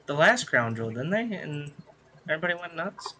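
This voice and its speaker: A man's voice: fairly high pitch, very animated, brisk, noisy background. A man co-hosting a wrestling podcast, chatting casually and bluntly with some swearing. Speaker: podcast co-host. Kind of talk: casual wrestling review chat.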